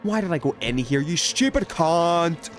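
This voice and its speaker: A man's voice: a little high, expressive, unhurried, soft background music. A man from the UK chatting casually to his viewers while gaming, with an emphatic, opinionated, sweary way of talking. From United Kingdom. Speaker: video game livestreamer.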